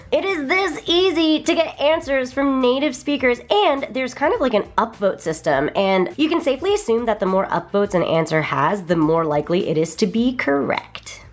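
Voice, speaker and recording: A woman's voice: on the high side, expressive, moderate pace. A young woman vlogger, bubbly and enthusiastic, chatting casually through an app walkthrough. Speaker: vlogger reviewing a language app.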